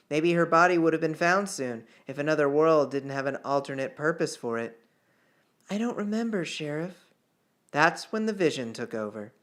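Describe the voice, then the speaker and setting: A man's voice: fairly high pitch, a bit bright. A man narrating fiction in an audiobook style, reading aloud with the odd small stumble. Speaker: author reading own novel chapter.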